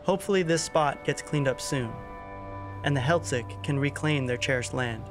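A man's voice: medium pitch, moderate pace, music in the background. A man, hosting a documentary with narration and casual interviews. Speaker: documentary host.

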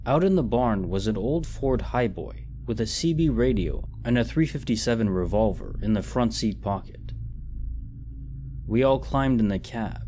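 A man narrating as a storyteller and host in a measured, reflective manner. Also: medium pitch, expressive, mellow, a little hiss or hum. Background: background music.

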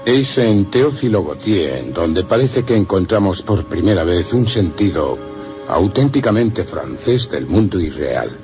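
A man's voice: low voice, big pitch swings, a little slow. A man giving a formal, solemn scripted reading for radio. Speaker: radio reader. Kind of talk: scripted dramatic reading of literary essay. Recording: music under the voice.

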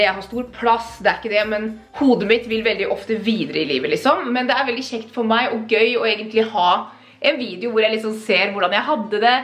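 A woman's voice: mid-range voice, fairly bright tone. A young woman from Norway chatting upbeat to her viewers while showing around her home on a handheld camera. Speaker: vlogger. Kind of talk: casual house tour vlog. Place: bright modern home, indoors. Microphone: handheld camera at arm's length.

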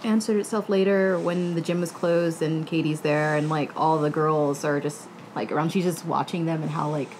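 A woman's voice: sits low, steady tone, normal speed, a little mellow, low-quality recording. A woman speaking casually as a panelist in a book discussion. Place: living room, seated on a couch. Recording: faint room noise.

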